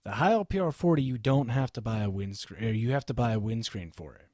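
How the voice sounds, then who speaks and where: A self-assured man in a small home studio holds forth on microphones in an emphatic, lecturing way. His pitch swings widely from word to word. He speaks close to a studio mic in a dry room with no echo. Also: lively intonation, fairly quick, warm tone. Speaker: microphone reviewer. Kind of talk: opinionated gear review to camera. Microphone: studio microphone, switched during the video.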